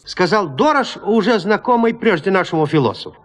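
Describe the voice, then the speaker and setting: A man narrating a classic literary tale in an audio drama, measured, with deliberate pauses. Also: high-pitched, expressive.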